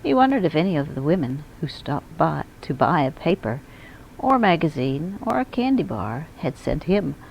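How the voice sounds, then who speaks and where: A woman reading a children's picture book aloud in an expressive storytelling manner. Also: deep voice, moderate pace, vocal fry, highs cut off, a little hiss or hum. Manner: expressive, acts out dialogue and emphasis. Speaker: school media specialist reading aloud.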